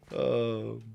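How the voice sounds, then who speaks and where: A man's voice: mid-range voice, creaky, muffled recording. A man from Sweden with a Swedish accent, Swedish being his first language, chatting casually with viewers while gaming on a stream, joking about himself and trailing off mid-thought. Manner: chatty, self-deprecating, laughs often, trails off mid-sentence.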